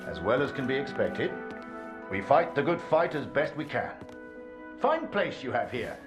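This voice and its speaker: A man acting a genial, self-assured army major with a polite, measured manner in a video game. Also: medium pitch, very animated, over music.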